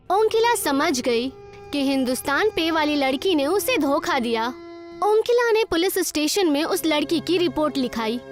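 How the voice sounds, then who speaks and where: Woman, high-pitched voice, scripted children's story narration, faint music bed.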